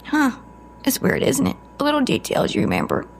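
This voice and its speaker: Woman acting a haunted, gravelly-edged female agent. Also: high voice, huge pitch swings, a little hiss or hum, full character voice. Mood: grieving, bitter. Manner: halting, emotional, breaking off mid-sentence. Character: haunted adult female cryptid agent, grieving, guarded. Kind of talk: audio drama acting, confessional storytelling.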